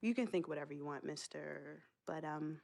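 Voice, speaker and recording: A woman's voice: deep voice, moderate pace, vocal fry, background noise. An American woman acting a protective, sarcastic sister of a star athlete in a video game cutscene. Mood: guarded, wry. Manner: sarcastic, guarded.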